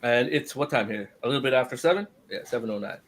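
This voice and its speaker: A man's voice: medium pitch, fast, slight background noise. A man from Guyana hosting a podcast over a video call, upbeat and chuckling between turns. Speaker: podcast host. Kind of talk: casual group chat.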